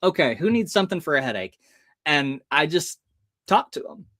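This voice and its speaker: A man's voice: a little high, big pitch swings, moderate pace, a bit bright. An American man in his early thirties telling personal stories as a podcast guest, expressively acting out bits of dialogue as he goes. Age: early 30s. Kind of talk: animated personal storytelling.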